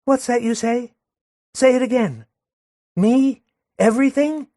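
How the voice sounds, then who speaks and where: A man's voice: a little slow, mellow, no echo, clean studio sound. A man voicing a furious young working-class woman who rails and jeers with scorn. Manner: shrill, jeering, railing.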